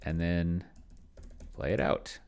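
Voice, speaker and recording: A middle-aged man thinking aloud in casual commentary while playing a tabletop game at home, halting and muttering to himself as he goes. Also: low-pitched, steady tone, gravelly, darkish tone, clear, resonant tone. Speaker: host of a solo roleplaying video. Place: at home, at a computer.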